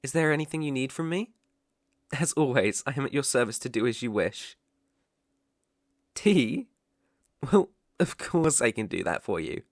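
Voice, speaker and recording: A man plays a devoted British butler in an ASMR roleplay. He speaks in a mid-pitched male voice with formal, deferential phrasing and a softly attentive manner. The sound is close and dry, with no room around it. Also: lively intonation, moderate pace, pauses often, no room sound, natural voice. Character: polite, devoted young male butler, gently teasing.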